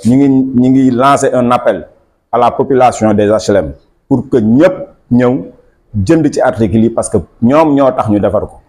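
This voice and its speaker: A man with a Senegalese accent, the club's marketing officer, presenting team merchandise to camera in a steady selling tone. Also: mid-range voice, normal speed. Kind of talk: promotional product presentation. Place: small office indoors.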